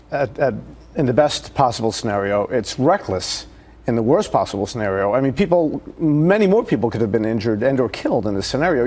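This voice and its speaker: A man's voice: medium pitch, darkish tone. A man giving conversational, critical commentary as a guest in a news interview. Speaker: guest commentator, retired detective.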